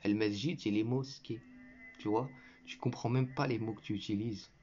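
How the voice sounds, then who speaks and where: A man's voice: lowish voice, steady tone, moderate pace, dark tone, slight background noise. A man giving a combative religious commentary to his viewers, lecturing and scornful, addressing the listener directly and breaking into mocking laughter. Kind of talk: combative reaction monologue to viewers. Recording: muffled lo-fi recording.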